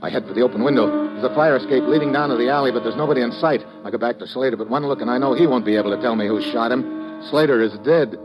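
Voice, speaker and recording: An actor playing a tough, wry American government agent in clipped, sardonic 1950s radio adventure style. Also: male voice, medium pitch, racing, close to an everyday voice. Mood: tense, grim. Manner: clipped, sardonic, hard-boiled delivery. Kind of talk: 1950s radio adventure acting with first-person narration. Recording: dull old radio recording, loud music.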